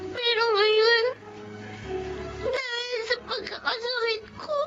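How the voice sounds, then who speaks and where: A very high, slow-spoken sick little girl pleads tearfully in a Filipino accent. She is polite and sorrowful, as in a radio drama, heard on muffled audio under loud music. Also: little pitch movement, full character voice. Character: sick, sorrowful young girl, gentle grandchild.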